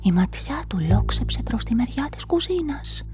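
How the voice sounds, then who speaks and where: An actress narrating as a woman suspected in a crime, in a hushed, whispery inner-monologue manner, in a radio play with a music bed. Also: sits high, very animated. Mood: anxious. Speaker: narrator and witness.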